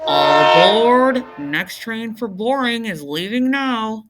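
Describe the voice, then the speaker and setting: A woman's voice: fairly high pitch, lively intonation, slow pace, over loud music, pitched above the performer's own voice. A woman voicing a stubborn, cheeky seven-year-old girl in a children's storybook, with a blunt, emphatic, defiant manner. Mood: yelling, sarcastic.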